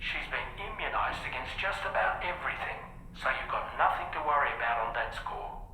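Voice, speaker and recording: A man's voice: a little high, expressive, a little fast, creaky, sharp tone, full character voice. A man playing a practical, reassuring crew colleague in a video game, matter-of-fact and wordy over a radio link. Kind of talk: dramatic video game cutscene acting. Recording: echoey radio transmission with faint noise.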